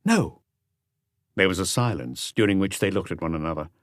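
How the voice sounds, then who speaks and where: A man reading a mystery audiobook as scripted narration, measured and composed, close on the mic. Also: deep voice, no echo.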